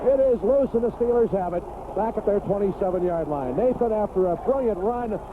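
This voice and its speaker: High-pitched male football announcer on tinny radio. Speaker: play-by-play sports announcer. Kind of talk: live American football radio commentary. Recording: noisy tinny 1980s radio broadcast.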